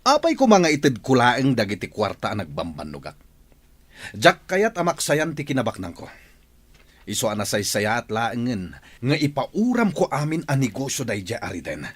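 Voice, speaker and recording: An actor with an Ilocano accent playing a bossy, scheming rich man who is quick to anger. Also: mid-range voice, huge pitch swings, breathy edge. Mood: scheming, angry. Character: scheming rich middle-aged male boss. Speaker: radio drama actor.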